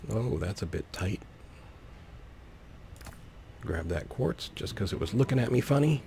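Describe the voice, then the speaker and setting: A man narrates his gameplay in a low, gravelly voice. He takes his time, thinking aloud with whimsical little asides. There is faint background noise behind him. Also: animated, unhurried, a little mellow. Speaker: let's player, host.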